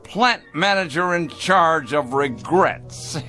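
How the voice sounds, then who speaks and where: An actor in a radio drama playing a smug, sardonic factory boss zealous about machines, with grand mockery. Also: male voice, on the high side, animated, takes it slowly. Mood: mocking, zealous. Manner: sardonic, grandly mocking, repeating words. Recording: radio drama with music underneath.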